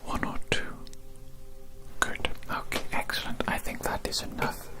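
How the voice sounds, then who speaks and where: Soft whispered ASMR hearing-test roleplay. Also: over loud music. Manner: soft whispering, slow and repetitive, gently encouraging. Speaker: ASMR creator. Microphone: close microphone with headphone-targeted sound.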